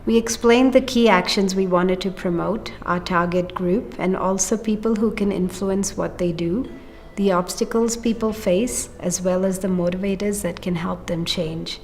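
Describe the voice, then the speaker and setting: A woman's voice: medium pitch, fairly even intonation, moderate pace, darkish tone, a little hiss or hum. A woman explaining a project step by step, in the manner of a documentary interview. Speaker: project team member. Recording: music bed under speech. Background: music.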